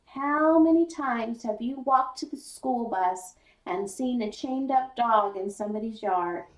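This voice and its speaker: Lady reading as strict country dad lecturing his kid, storybook, slow and sharp, roomy sound. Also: a little high, natural voice. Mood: sharp, heated. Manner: folksy rural phrasing, sharp and insistent. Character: stern, plain-spoken father lecturing his young son. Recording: roomy echo, distant mic.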